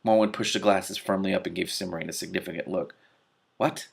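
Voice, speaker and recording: A man's voice: low voice, fairly even intonation, fast talker, creaky. A man reading a novel aloud as narrator, expressively, with his own off-the-cuff reactions.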